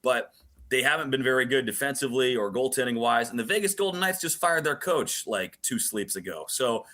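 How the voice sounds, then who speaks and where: Bright-voiced man talking hockey. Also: medium pitch, lively intonation, a little fast. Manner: emphatic, repeats phrases for stress. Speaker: hockey play-by-play broadcaster as studio guest. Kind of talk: animated sports talk, analysis.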